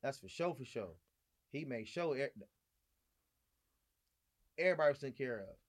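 A man from Gardena, California, sits in as a podcast guest. He tells stories in casual slang and repeats his phrases for emphasis. His delivery is animated, at a middling pitch. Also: moderate pace. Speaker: podcast guest, restaurant owner. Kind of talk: casual chat, storytelling.

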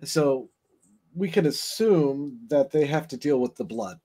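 A man guesting on a podcast, explaining his ideas in casual chat with halting, stammering starts. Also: fairly high pitch, animated, mellow.

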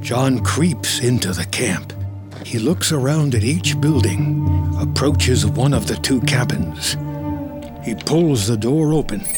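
A man's voice: medium pitch, animated, slow. A man reading scripted screenplay narration of a film story. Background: music.

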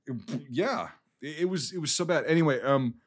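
A man's voice: a little high, expressive, a little fast. A man on a film podcast, ranting casually about a movie he disliked, swearing often and restarting his sentences as he goes. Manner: profane, exasperated, rambling with restarts.

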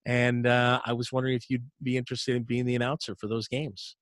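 A man's voice: medium pitch, completely flat delivery, a little fast, dry recording, clean studio sound. A middle-aged man, an arena announcer telling his career story as an interview guest in an upbeat, chatty way, often repeating words as he restarts. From Canada.

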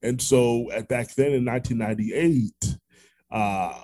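A man with an American accent hosting a casual interview podcast, repeating words as he goes in a halting way. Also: mid-range voice, dark tone, a little hiss or hum. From Orlando, Florida, United States. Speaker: podcast host.

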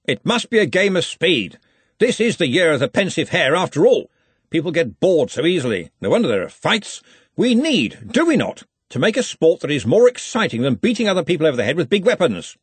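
A man's voice: on the high side, sing-song, fairly quick, breathy edge, dry recording, pitched above the performer's own voice. A man voicing a pompous, commanding older wizard who runs a college, in an audiobook. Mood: pompous, assured. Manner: blustering, bellowing, commanding. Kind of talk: audiobook character acting.

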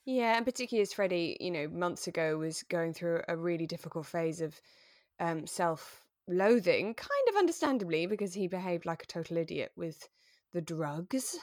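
A woman's voice: fairly low pitch, lively intonation, normal speed, a little mellow, no echo, clean studio sound. A British woman co-hosting a podcast, chatting informally and enthusiastically about a radio drama. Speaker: podcast co-host. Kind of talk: casual chat discussing a radio drama.

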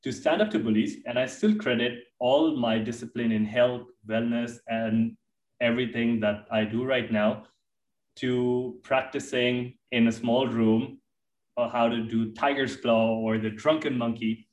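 A man's voice: mid-range voice, fairly even intonation, unhurried, darkish tone. A man hosting an interview podcast, speaking with enthusiasm in long, winding questions. His first language is Hindi. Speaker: podcast host. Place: Dubai. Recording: roomy echo, distant from mic.

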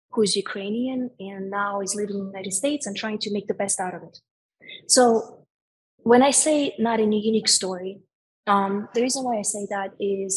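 A woman's voice: mid-range voice, a little hiss or hum, low-quality recording. A woman from a post-Soviet country hosting a podcast interview, explaining background at length before she asks her questions. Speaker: podcast host.

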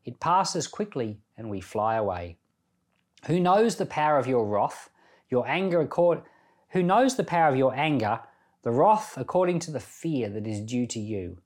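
A middle-aged man giving Bible reading and devotional commentary in an earnest, conversational way with deliberate phrasing. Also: mid-range voice, very animated.

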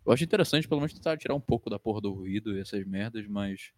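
A man's voice: on the low side, lively intonation, rapid-fire. A twenty-year-old Brazilian man hosting a podcast as a casual chat with friends, slangy and foul-mouthed. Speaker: podcast host.

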